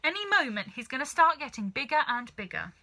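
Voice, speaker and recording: A woman voicing an excitable, bossy farmer father in a children's storybook, exclaiming urgently. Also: high voice, brisk, pitched above the performer's own voice, exaggerated voice. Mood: excited.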